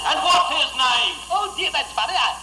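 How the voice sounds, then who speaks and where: A comedy performer playing a cheeky, bantering vaudeville act in an old radio sketch, with exaggerated music-hall delivery and shouted punchlines. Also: sits high, lively intonation, normal speed. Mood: cheeky, boisterous. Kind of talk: 1930s-40s Australian radio comedy sketch. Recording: noisy, echoey old radio recording played in room.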